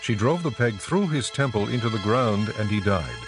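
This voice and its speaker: A man's voice: lowish voice, animated, moderate pace, over music. A man reading as the narrator of a scripted, dramatized scripture audiobook.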